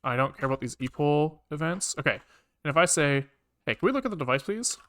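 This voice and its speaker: A man's voice: a little high, lively intonation, fast, fairly bright tone, no room sound. Man muttering through code, dry close sound. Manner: muttering, casually sweary, reading code aloud in fragments. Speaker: programming livestreamer. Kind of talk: thinking aloud while coding on a livestream.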